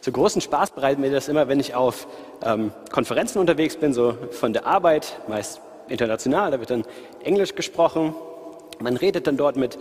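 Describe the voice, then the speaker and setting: Man, light Ruhr accent, casual preacher, mid-pitched voice, long hall reverb. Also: a little fast. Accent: light Ruhr German. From Ruhr area, Germany. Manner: relaxed, conversational, talks directly to listeners. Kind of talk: sermon. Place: church hall, live audience and online stream.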